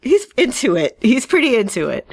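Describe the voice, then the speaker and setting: A woman's voice: fairly high pitch, very animated, moderate pace, slightly rough, no room sound. A woman co-hosting a fan podcast, chatting casually and enthusiastically, with wry asides and digressions. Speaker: podcast co-host. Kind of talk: casual fan news chat.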